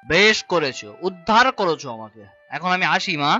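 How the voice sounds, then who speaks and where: A man's voice: sits high, expressive, normal speed, sharp tone, highs cut off, soft background music, full character voice. A man playing a burdened, irritable young working son in a cartoon, with a sarcastic edge. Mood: irritated. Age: young adult. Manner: exasperated, sarcastic. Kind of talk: cartoon voice acting.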